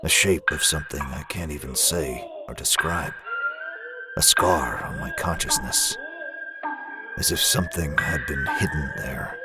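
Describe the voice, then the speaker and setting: Male actor as grim vigilante, extremely low voice, grave, deliberate, comic-book drama, loud music underneath. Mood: haunted, introspective. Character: grim, brooding middle-aged male masked vigilante recounting his ordeal. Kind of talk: motion comic voice acting.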